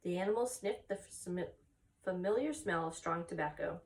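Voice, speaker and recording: A woman's voice: on the low side, moderate pace. Woman reading a children's story, slightly distant. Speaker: story time reader.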